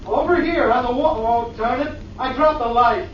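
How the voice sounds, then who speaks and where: High-voiced excitable naive young fellow. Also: male voice, roomy sound, recorded from a distance. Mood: uneasy. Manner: boyish, folksy, halting exclamations. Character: excitable, naive, eager young male companion on a dig. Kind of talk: 1940s radio drama acting. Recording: muffled, noisy 1940s radio recording.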